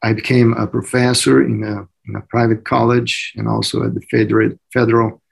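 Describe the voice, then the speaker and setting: A man in his forties with a Brazilian accent, answering interview questions in halting English with many fillers and restarts. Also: medium pitch, level tone, creaky, husky. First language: Portuguese. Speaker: agronomist guest.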